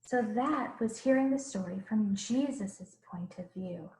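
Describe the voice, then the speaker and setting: A woman's voice: mid-range voice, a little slow, mellow, a little hiss or hum. A woman kindergarten teacher reading a picture book aloud, expressively, with simple explanations for young children. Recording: roomy, echoing room sound.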